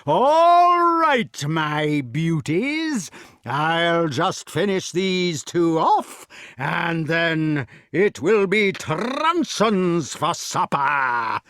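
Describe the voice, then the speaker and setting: A man voicing a bullying, easily enraged giant in a storybook, with a drawn-out, menacing delivery. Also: fairly high pitch, big pitch swings, a bit bright, no room sound, studio quality, pitched above the performer's own voice, exaggerated voice. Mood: indignant.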